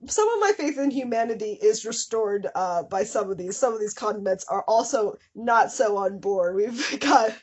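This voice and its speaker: Husky woman doing a comedic dramatic reading. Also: medium pitch, expressive, normal speed, few pauses. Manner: breaks into giggles and incredulous commentary. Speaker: host of a comedic fan fiction reading show. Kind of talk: dramatic reading with joking asides.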